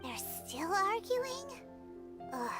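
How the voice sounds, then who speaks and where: A tiny childlike sprite from a video game, exasperated and squeaky. The pitch is extremely high and swoops all over, with music clearly behind. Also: female voice, big pitch swings, very slow, full character voice. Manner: squeaky, whiny, exclaiming. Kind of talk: video game character acting.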